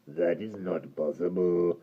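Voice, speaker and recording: A sinister little water creature, as a male reader puts him on, with a deep, gravelly voice dropped well below the reader's own. He drawls slowly and coldly, half in a whisper. It comes through a dull, lo-fi recording. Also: heavily acted. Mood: menacing. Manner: slow, low and menacing, half-whispered.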